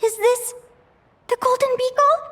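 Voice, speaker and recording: A woman voicing a gentle, anxious young adventurer in a video game, emotive and softly spoken. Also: very high-pitched, steady tone, a little slow, full character voice. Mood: startled, panicked. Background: game music.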